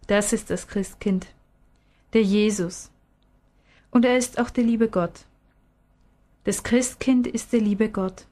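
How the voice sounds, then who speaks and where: A woman voicing a patient father who questions and explains gently in a storybook reading. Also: mid-range voice, fairly even intonation, takes it slowly, long pauses, close to an everyday voice. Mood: patient explaining. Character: patient, gently probing father of a small boy.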